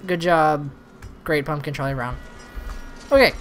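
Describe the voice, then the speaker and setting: A man doing casual let's-play commentary, thinking aloud in a rambling way, with a slightly hoarse voice. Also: on the high side, a little slow, a bit bright, soft background music. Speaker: host of a gaming channel. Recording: noisy recording with background ambience.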